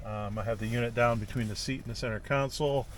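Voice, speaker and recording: A man's voice: mid-range voice, fast. A man giving casual, informal vlog narration, explaining gear step by step in a plainspoken way. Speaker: vlogger testing CB radio gear. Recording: steady background noise, vehicle sounds.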